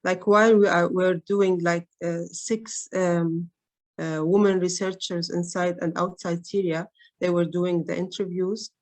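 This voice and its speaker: Woman on a research panel, lowish voice, lots of uhs and restarts. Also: flat delivery, a little slow. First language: Arabic. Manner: hesitant, many fillers and restarts. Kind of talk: conference roundtable remarks.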